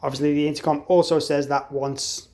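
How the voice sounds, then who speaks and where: A man's voice: fairly high pitch, flat delivery, a little fast, warm tone. A man giving an informal, explanatory hands-on product tutorial as an installer. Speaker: installer demonstrating an intercom product.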